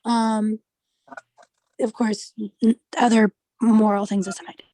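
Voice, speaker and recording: Woman running a study group chat, high voice, kinda tentative, dry close sound. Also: flat delivery, slow, dark tone, no echo, rough recording. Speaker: study group discussion leader. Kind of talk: casual group discussion.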